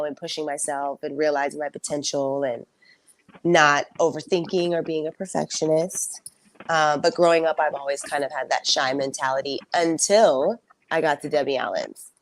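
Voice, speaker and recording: A young woman singer telling her story in a casual interview, soft-spoken and reflective, with frequent fillers. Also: low-pitched. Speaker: singer and songwriter guest.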